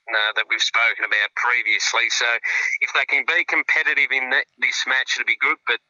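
Chatty Australian radio football pundit. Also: male voice, medium pitch, dry recording, highs cut off. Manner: relaxed, chatty, with frequent fillers and restarts. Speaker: football pundit, regular guest. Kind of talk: casual radio sports analysis.

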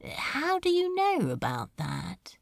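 A woman's voice: sits high, wildly swooping pitch, slow pace, gravelly, no room sound, clean studio sound, voice pushed up, exaggerated voice. A woman voicing a guarded male demon with a tender heart in an audiobook story, in a casual, clipped manner that can turn to a hiss. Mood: startled. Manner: casual, clipped, sometimes hissing.

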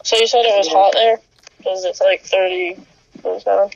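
A man's voice: high voice, little pitch movement, a little fast, gravelly. A young man in a casual chat among friends who sounds distracted and hesitant. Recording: dull lo-fi sound.

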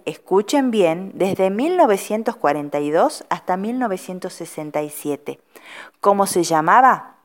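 A woman with an Argentine accent presenting an educational audio lesson like a teacher, putting rhetorical questions straight to the listeners. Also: mid-range voice. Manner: didactic, addresses listeners directly.

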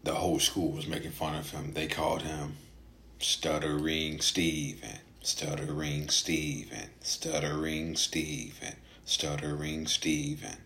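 A man who stutters, speaking casually in a halting personal monologue with frequent gaps and hesitations, as a podcast host. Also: rumbling low, level tone, a bit bright.

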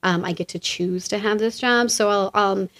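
American woman guest on a chat podcast, quick clear talker, lively storyteller. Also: medium pitch. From United States. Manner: fast, clear speech; lively storyteller who acts out other people's lines. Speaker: guest, associate principal and former actor. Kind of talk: casual conversational interview.

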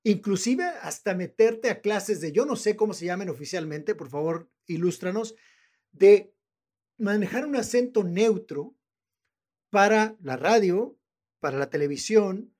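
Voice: mid-range voice, expressive, a little slow, a little mellow. An interview host with a northern Mexican accent, chatting casually and enthusiastically.

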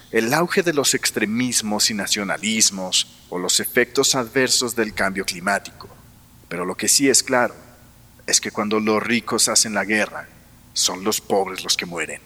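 A man's voice: lowish voice, bright, forceful tone. A man playing a solemn narrator, delivering scripted dramatic narration in an audio drama. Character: solemn male narrator musing on war and conspiracies. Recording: long hall reverb.

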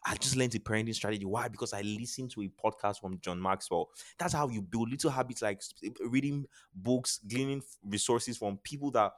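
A man's voice: on the low side, a little fast, few pauses. A man with a Nigerian accent speaking as a podcast guest in an earnest, motivational way, repeating phrases for emphasis. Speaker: podcast guest, charity founder. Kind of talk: motivational interview talk.

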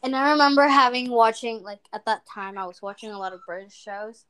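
A woman's voice: on the high side, brisk, fairly bright tone, smooth, poor audio. Chatty woman with a Venezuelan accent. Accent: Venezuelan Spanish. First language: Spanish. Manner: chatty storyteller, lots of fillers. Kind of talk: casual chat.

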